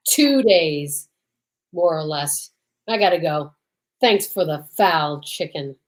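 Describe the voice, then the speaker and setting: A woman's voice: deep voice, lively intonation, slow pace. A woman playing a suspicious, needling male police detective in an old-time radio drama, dry and terse. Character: gruff, suspicious male police detective, fond but bossy.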